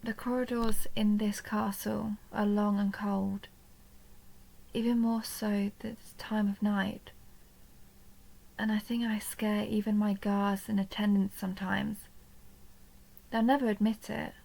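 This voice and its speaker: Vampire countess for intimate audio roleplay, played by a woman: soft and coaxing, near-whispered, creaky, narrow pitch movement, lonely and possessive. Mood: wistful, vulnerable. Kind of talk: intimate roleplay audio drama, addressed to the listener.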